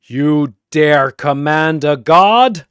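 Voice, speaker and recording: Guy doing an ancient arrogant god, loud slow and imperious, fantasy battle style. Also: on the high side, clean tone, no echo, studio quality. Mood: imperious outrage. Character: ancient arrogant god personality in a mutant man.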